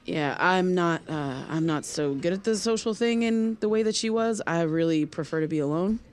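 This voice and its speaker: A woman playing an awkward, guarded young loner in naturalistic audio drama, speaking in a hesitant, halting way. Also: on the low side, a little mellow, natural voice. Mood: reflective, uneasy.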